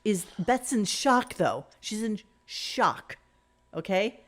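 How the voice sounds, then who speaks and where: A woman's voice: mid-range voice, lively intonation, takes it slowly, a bit bright. A woman hosting a talk show with casual, mocking commentary, sarcastic and exasperated, swearing and repeating phrases.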